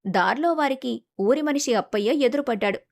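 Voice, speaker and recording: A woman's voice: a little high, fast talker, vocal fry, a little mellow. A woman storyteller reading scripted narration for a moral story.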